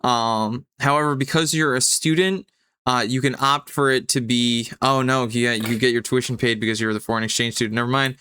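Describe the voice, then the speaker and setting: A man's voice: mid-range voice, fairly even intonation, a little fast, pushed and bright, a little hiss or hum. A man running a tabletop game as game master, improvising rulings in an explanatory way with some searching for words.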